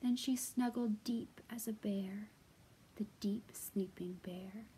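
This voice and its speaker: A woman reading a bedtime story in a slow, hushed whisper. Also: mid-range voice, fairly even intonation, a little hiss or hum, low-quality recording.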